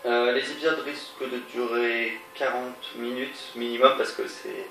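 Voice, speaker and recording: A man's voice: mid-range voice, level tone, unhurried, fairly bright tone. Guy w a stuffy cold nose rambling thru a let's play, lots of ums, echoey room, game music playing. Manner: rambling, hesitant, many fillers and restarts. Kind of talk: casual gameplay commentary. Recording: roomy, distant mic, game music behind.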